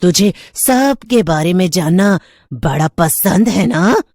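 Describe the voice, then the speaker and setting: Cartoon witch played by a woman: menacing neighbour, slow taunting threats, close dry sound. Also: on the low side, animated, darkish tone, no echo. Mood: mocking menace. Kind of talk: Hindi horror cartoon storytelling.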